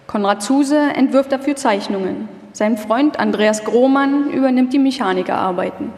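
A woman's voice: fairly high pitch, moderate pace, darkish tone. A woman reading scripted documentary narration as the narrator of a live radio feature. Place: live stage performance in a hall. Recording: echoey hall, long reverb.

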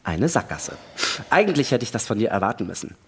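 An actor playing a suspicious, coldly calculating astronaut who argues methodically and accusingly, in a dramatic sci-fi radio play reading. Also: male voice, lowish voice, sing-song, slightly rough, heavily acted. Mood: grim, calculating. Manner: argumentative, methodical, deliberate reasoning. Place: large hall, stage reading. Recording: echoey hall, long reverb.

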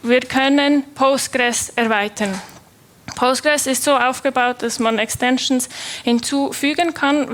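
Young Swiss woman, conference presenter; higher voice, slow, hesitant delivery with fillers. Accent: Swiss German. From Switzerland. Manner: hesitant, many filler sounds and restarts. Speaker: conference speaker, developer. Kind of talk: technical conference talk.

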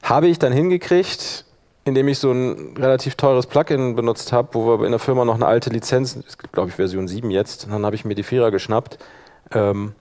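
A man speaking colloquial speech, giving an informal live software demonstration and thinking aloud as he works. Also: medium pitch, steady tone, fairly quick. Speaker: workshop presenter.

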